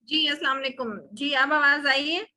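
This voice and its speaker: A woman's voice: high voice, steady tone, normal speed, pushed and bright. A woman with a Pakistani accent teaching a live online English class, answering questions in explanatory Urdu mixed with English. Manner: explanatory, code-switching between Urdu and English.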